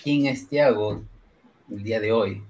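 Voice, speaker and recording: A man with a fairly low voice leads a casual interview over a video call. He asks questions in a friendly, unhurried way. His headset mic picks up a faint hiss of room noise. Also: darkish tone. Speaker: podcast host. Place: home room with purple lighting.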